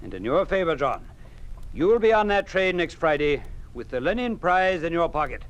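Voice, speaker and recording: An actor playing a genial, evasive institute director, glib and soothing, in a 1950s radio drama. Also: male voice, fairly high pitch, very animated, moderate pace. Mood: placating. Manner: soothing, glib reassurance with hedging. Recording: noisy old radio broadcast recording.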